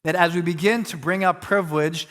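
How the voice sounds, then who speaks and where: A man's voice: high voice, steady tone, fairly quick, fairly bright tone, in a large hall. A man preaching as a pastor, earnest and reflective, talking through a hard question in a conversational way. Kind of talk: sermon.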